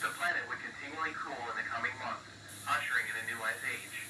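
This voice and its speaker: A man's voice: medium pitch, quick pace, natural voice. Man as stern alert announcer, hissy and echoing. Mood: stern, ominous. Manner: formal, impersonal. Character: stern official male emergency alert system announcer. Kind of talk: scripted emergency alert. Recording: hissy, echoey, distant broadcast sound.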